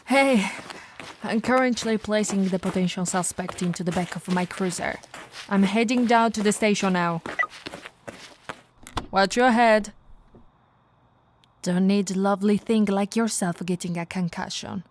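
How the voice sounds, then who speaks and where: A woman voicing a flirty, no-nonsense female police officer in an ASMR roleplay, switching between firm orders and soft, teasing coaxing. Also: medium pitch, measured pace, pauses often, background noise, natural voice. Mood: brisk. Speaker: voice actress.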